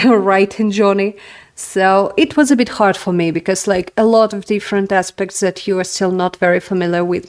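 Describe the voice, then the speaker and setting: A young woman whose first language is Russian, hosting a casual talk-to-camera book review, speaking in a chatty way full of "like" and "you know". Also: medium pitch. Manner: chatty, filler-heavy, frequent "like". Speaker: writing video host.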